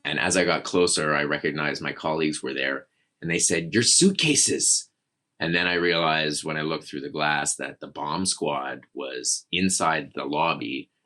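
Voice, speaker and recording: A man with a very low voice that still sounds bright and forceful. He tells a long story in a casual, rambling way and pokes fun at himself. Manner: rambling, self-deprecating. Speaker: guest storyteller.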